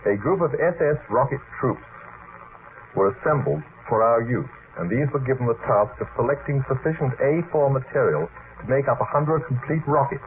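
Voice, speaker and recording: A man's voice: medium pitch, expressive, moderate pace. A man moderating an unscripted late-night radio discussion, wordy and hesitant, often cutting in to steer the others. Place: radio studio. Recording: noisy, phone-like vintage radio archive recording.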